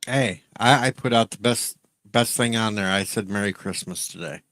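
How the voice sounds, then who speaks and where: A man hosting a casual talk show, cracking jokes in a deadpan way. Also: fairly low pitch, moderate pace, dry recording. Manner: deadpan joker. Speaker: show host. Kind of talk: casual chat.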